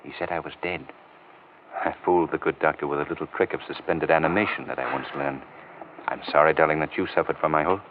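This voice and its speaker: An actor playing a suave, commanding detective in an old radio drama, speaking in a measured, authoritative way. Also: male voice, very deep voice, steady tone, background noise. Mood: calm, reassuring. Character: suave, commanding male detective with secret identity. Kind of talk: 1940s radio drama acting. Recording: muffled old radio recording.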